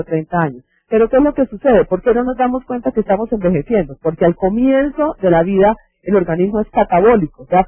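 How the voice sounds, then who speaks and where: A man's voice: high-pitched, dry recording. A man with a Colombian accent giving an explanatory health lecture in a didactic way, posing rhetorical questions. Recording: dull lo-fi videoconference audio.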